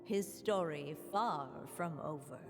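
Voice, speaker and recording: A woman playing a solemn storybook narrator in a video game, telling a fairy tale in a measured way. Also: sits low. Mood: solemn, hopeful. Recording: long reverb, music underneath.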